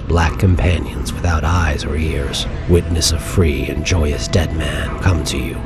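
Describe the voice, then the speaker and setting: A brooding, defiant young hero in a video game. He speaks in a low, understated and sardonic voice over music. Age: young adult. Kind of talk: cinematic game acting.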